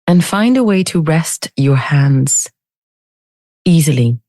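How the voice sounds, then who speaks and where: A woman leading a guided meditation in a gentle, unhurried manner with long pauses between phrases. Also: deep voice, expressive, no room sound, studio quality. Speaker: meditation teacher.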